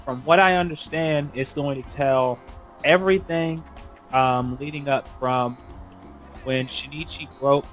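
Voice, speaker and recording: A man's voice: medium pitch, measured pace, a bit bright. Male podcast host, mid-range voice, casual conversational delivery, music underneath. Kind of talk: casual roundtable podcast talk.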